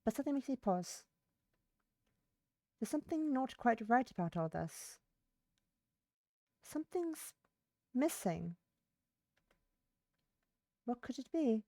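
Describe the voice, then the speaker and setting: A woman's voice: fairly high pitch, very animated, slow, pauses often, warm tone, no echo. A woman livestreamer reading a visual novel's narration aloud, stumbling over words and rereading phrases, with brief casual asides.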